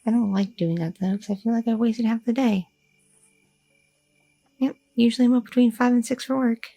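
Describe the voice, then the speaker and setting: A young goth girlfriend, acted by a woman in an ASMR roleplay, chatty and teasingly curious. Her voice is soft-edged and mellow, with a husky grain and a crackle of vocal fry. She rambles casually in everyday slang. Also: a little high, steady tone, moderate pace, soft background music. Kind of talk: intimate ASMR audio roleplay.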